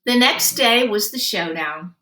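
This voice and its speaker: A woman reading a children's story aloud, narrating expressively and deliberately and acting out the dialogue. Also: medium pitch. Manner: animated, deliberate, acts out dialogue. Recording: roomy, distant microphone.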